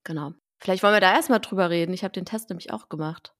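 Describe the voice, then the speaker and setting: A woman hosting a casual chat podcast, lively, laughing often and using many fillers. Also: lowish voice, racing. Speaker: podcast host.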